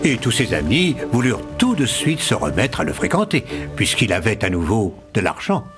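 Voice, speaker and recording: A man narrating an animated fairy tale as a scripted storyteller. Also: on the low side, moderate pace, over music. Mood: storytelling.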